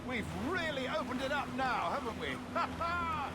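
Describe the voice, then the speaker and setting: An actor playing a polite, chatty, timid gentleman merchant from a plantation, effusive and old-fashioned in his phrasing, in a video game cutscene. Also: extremely high pitch, sing-song, normal speed, very noisy. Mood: delighted, admiring. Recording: loud music behind the voice.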